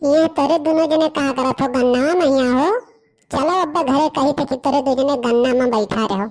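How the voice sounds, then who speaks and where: A woman's voice: high-pitched, moderate pace, a little mellow, pitched above the performer's own voice. A woman voicing a nosy, cheeky village youngster in a cartoon, accusing and bargaining in an Awadhi accent.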